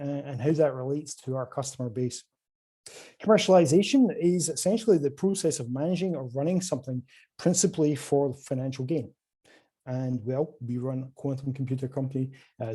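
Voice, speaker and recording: A man's voice: fairly high pitch, breathy edge. A man with a Scottish accent giving a conference presentation over a video call, with many filler sounds and restarts. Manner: hesitant, many filler sounds, lively rises and drops in level. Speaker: conference speaker from a quantum computing company.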